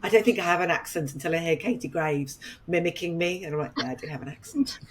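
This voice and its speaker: A woman with a general English accent chatting lightly in a roundtable, self-deprecating and quick to laugh. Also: on the low side. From United Kingdom. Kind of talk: casual chat.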